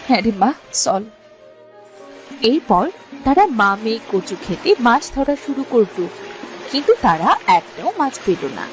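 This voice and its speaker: High-pitched woman narrating a cartoon. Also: animated, moderate pace, over music, low-quality recording. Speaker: storyteller narrator.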